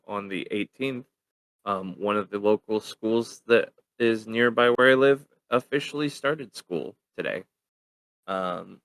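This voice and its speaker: A man's voice: on the low side, fairly bright tone, clear, resonant tone, no echo. A young man hosting a casual podcast, rambling with lots of ums and easy laughter. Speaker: podcast host.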